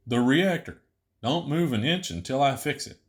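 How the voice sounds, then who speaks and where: A man's voice: mid-range voice, lively intonation, normal speed, pitched above the performer's own voice, lightly acted. A man voicing a nervous, eager young alien astronaut trainee with hesitant, earnest answers in an audiobook story. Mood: earnest, reciting. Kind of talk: audiobook character voice.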